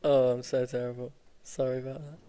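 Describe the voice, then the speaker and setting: A man's voice: medium pitch, level tone, a little fast, warm tone, clean tone. A male game streamer reading a visual novel aloud, stumbling over the text and dropping in casual, joking asides. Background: game music.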